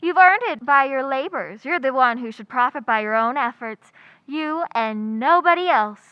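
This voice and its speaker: A woman acting a wry, quick-witted schoolteacher in old-time radio comedy, full of dry, sarcastic wisecracks. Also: high voice, expressive, no echo, lo-fi sound. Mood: wry, playful.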